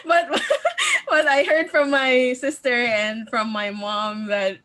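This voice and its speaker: A woman host interviewing a coach, speaking haltingly with many fillers and frequent giggles. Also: sits high, very animated, noisy background. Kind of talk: casual interview chat.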